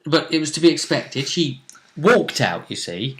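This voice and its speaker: A man hosting a tabletop role-play show, casually recapping the action in a jokey, theatrical way with drawn-out emphasis. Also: on the high side, sing-song, noisy background. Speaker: game master and host.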